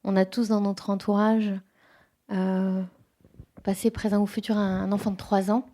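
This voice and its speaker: A woman's voice: medium pitch, flat delivery, normal speed, dark tone. A woman poet speaking at a bookshop event, talking in a thoughtful, hesitant way with many restarts. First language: French. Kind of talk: bookshop talk.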